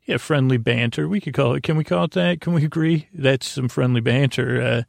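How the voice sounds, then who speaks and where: A man with a creaky, gravelly voice, hosting a bedtime podcast in a gentle, friendly, halting ramble full of stammered repeats and tangents meant to lull listeners to sleep. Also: mid-range voice, no echo. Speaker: podcast host. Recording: close dry voice over faint music.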